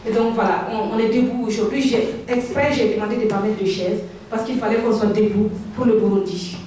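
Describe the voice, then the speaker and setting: A woman's voice: mid-range voice, fairly even intonation, brisk, slight background noise. A woman from Burkina Faso who organized the event, giving an earnest rally speech and then answering questions, often restarting her sentences. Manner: earnest and rousing, with frequent restarts. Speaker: event organizer and local councillor. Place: large indoor hall at a gathering. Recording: echoey hall, distant mic, loud crowd. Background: loud crowd.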